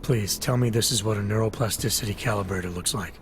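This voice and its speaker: A man's voice: lowish voice, quick pace, faint music behind, natural voice. An actor playing a terse, guarded augmented male agent in a video game cutscene.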